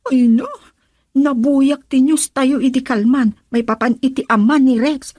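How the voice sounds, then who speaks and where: Very high-voiced, chatty Ilocano friend. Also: female voice, steady tone, measured pace, darkish tone, no room sound, studio quality. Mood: gossipy. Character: bossy, chatty young female friend. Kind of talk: Ilocano radio drama acting.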